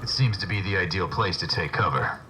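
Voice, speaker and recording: A man's voice: low-pitched, animated, brisk, background noise. A man playing a treacherous soldier in a video game, smug and spiteful, with a taunting delivery. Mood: grim, frustrated. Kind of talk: video game cutscene acting.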